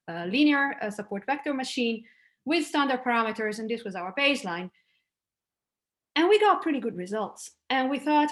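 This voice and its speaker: A middle-aged woman, a native Italian speaker, giving an academic talk over a video call, conversational and prone to restarts. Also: a little high. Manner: conversational, with frequent restarts and "like" fillers. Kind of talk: conference talk over slides.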